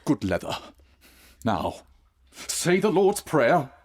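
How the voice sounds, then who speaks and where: A stern fanatical reverend in a period audio drama. His voice is rough and husky, swinging widely as he preaches with severe, deliberate menace. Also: fairly high pitch, very animated, full character voice. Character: stern, fanatical eighteenth-century English reverend.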